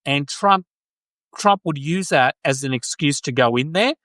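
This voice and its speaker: A man's voice: a little high, animated, measured pace, pushed and bright, dry recording. Australian man chatting on a dry close mic. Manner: conversational, frequent restarts and agreeing. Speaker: podcast host. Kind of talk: casual political chat.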